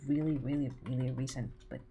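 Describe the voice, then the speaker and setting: A woman's voice: rumbling low, steady tone, a little fast, dark tone, poor audio. A woman in her twenties chatting casually with her livestream viewers, teasing and full of filler words. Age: 20s. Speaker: animator streaming to chat.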